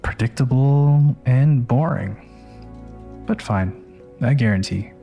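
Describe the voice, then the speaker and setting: A man's voice: mid-range voice, gravelly, muffled recording, faint music behind. An actor in an audio drama playing a cold, condescending crime-family rival who mocks and draws out his contempt. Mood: smug. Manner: mocking, drawn-out, contemptuous.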